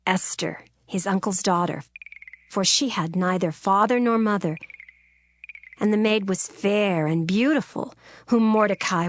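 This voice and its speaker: A woman's voice: medium pitch, fairly bright tone. Woman narrating scripture over music. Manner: formal, measured storytelling.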